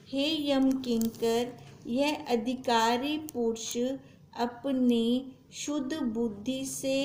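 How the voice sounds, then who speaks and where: A high-voiced woman delivers a scripture discourse at a very slow pace. She teaches didactically, repeating phrases as she moves between reading and explaining. The recording sounds like an echoey room with faint noise behind her. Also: a little mellow. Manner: didactic, repeats phrases and adds check-in tags. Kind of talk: satsang discourse, reading aloud with plain explanation.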